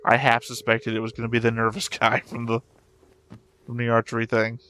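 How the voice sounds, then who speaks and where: A man's voice: mid-range voice, monotone, a little fast, sharp tone, smooth. A man giving casual, off-the-cuff commentary as the host of a reaction show.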